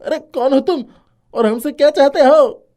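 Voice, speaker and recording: A scared young bully boy, played by a woman, with a fairly high, dark and soft-edged voice. He sounds alarmed and pleading, in animated story style. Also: moderate pace, natural voice. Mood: frightened. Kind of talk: animated moral story acting.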